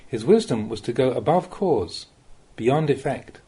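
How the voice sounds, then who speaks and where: A man reading a translated Buddhist talk aloud as a monk would teach, in measured, didactic, emphatic short phrases. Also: mid-range voice, expressive, dark tone, breathy edge.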